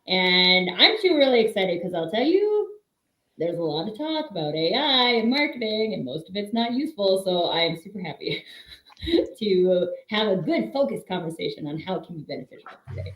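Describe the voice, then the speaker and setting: Enthusiastic woman with a lively voice. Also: medium pitch, moderate pace. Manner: bright, enthusiastic. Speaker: panelist, coaching business founder. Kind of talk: casual self-introduction. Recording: echoey call audio.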